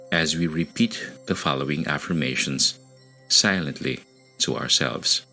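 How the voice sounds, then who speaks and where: A man guides a meditation in an extremely low voice. He speaks slowly and deliberately, leaving pauses between phrases. Soft music sits behind him. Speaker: meditation podcast host. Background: music under the voice.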